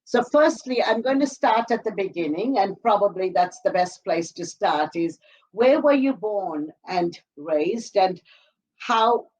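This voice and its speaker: A woman's voice: mid-range voice, a little mellow, poor audio. A woman interviewing a guest for a sewing business, speaking hesitantly with many fillers and restarts over a video call. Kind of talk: scripted interview questions.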